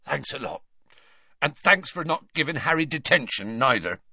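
A man's voice: a little high, expressive, normal speed, creaky, bright, forceful tone, husky, dry recording, studio quality, voice pushed up, full character voice. Hagrid: a man doing a tearful giant groundskeeper. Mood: choked. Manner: tearful, choked, folksy speech. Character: huge grieving male groundskeeper, soft-hearted.

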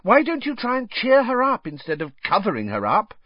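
Narrator's put-on teenage girl, high pitch, bossy, earnest, exasperated, storybook character, slightly dull recording. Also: huge pitch swings, takes it slowly, slightly rough, dry recording, exaggerated voice.

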